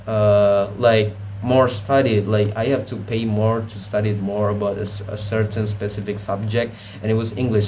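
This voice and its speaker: A man's voice: on the low side, a little mellow. Young guy vlogging, rambly, keeps correcting himself, cusses a lot, faint hum behind. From Indonesia. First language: Indonesian. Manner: rambling, self-correcting, swears freely. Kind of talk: casual rambling monologue.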